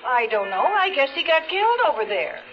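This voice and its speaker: A woman's voice: high voice, very animated, brisk, a crowd in the background. An actress playing an anxious, earnest woman questioned by police in a 1970s radio drama. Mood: wistful. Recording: dull old radio recording, noisy background.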